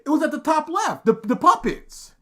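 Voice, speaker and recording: A young man co-hosting a casual reaction commentary, laughing often and breaking into loud outbursts. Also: very high voice, sing-song, normal speed. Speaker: co-host reacting to a video.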